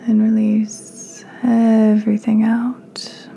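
A woman hosting a guided meditation in a soft, breathy, near-whispered voice, calm and soothing with unhurried pauses. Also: on the high side, slight background noise. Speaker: podcast host, meditation guide.

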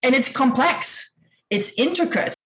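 A woman's voice: high voice, lively intonation, a little slow, rough recording. A woman who grew up in the Netherlands, a guest on an interview show, talking in an earnest, searching way. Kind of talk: casual interview chat.

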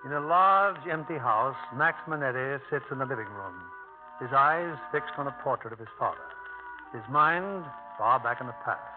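A man narrating a scripted 1950s radio drama as its host, measured and theatrical. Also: mid-range voice, expressive. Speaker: producer and narrator. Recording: tinny old radio broadcast. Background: music.

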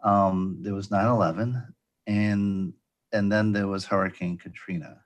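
A man hosting an interview, introducing his guest and asking questions in a halting way, with many ums and restarts. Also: low voice, fairly even intonation. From Providence. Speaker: podcast host.

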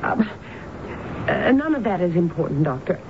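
An actress playing a desperate, distraught married woman in a radio drama, pleading in halting, broken starts. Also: fairly low pitch, sing-song. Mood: distraught, agitated. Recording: muffled phone-like old radio broadcast, loud noise.

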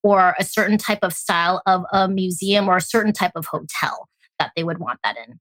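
A woman with an American accent, chatting casually as an interview guest and telling stories in an animated way. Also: fairly low pitch, brisk, no room sound. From born in Hong Kong, grew up in Alaska. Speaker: guest, tea company founder.

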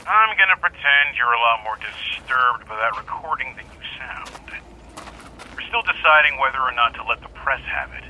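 An actor playing a gruff, wry police detective in audio drama dialogue, terse and businesslike. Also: male voice, medium pitch, expressive, moderate pace, sharp tone, slight background noise, low-quality recording.

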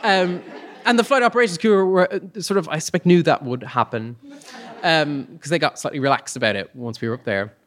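A man's voice: a little high, brisk, fairly bright tone. A man telling a personal story live to an audience, in a hesitant, self-deprecating, humorous way. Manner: hesitant, self-deprecating humour, chuckles as he talks. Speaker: storyteller on stage.

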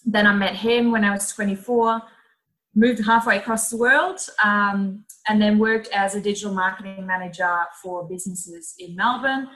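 Female, thirties, bright forward voice, mid pitch; chatty, cheerful interview guest explaining casually. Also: fairly even intonation, moderate pace, poor audio. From Netherlands. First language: Dutch. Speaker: podcast guest, social media coach.

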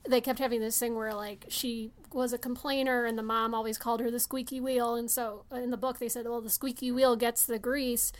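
High-pitched, emphatic woman podcaster. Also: flat delivery, a little fast, hardly any pauses. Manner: emphatic, mimics imagined remarks, meandering. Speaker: podcast co-host. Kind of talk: casual film discussion.

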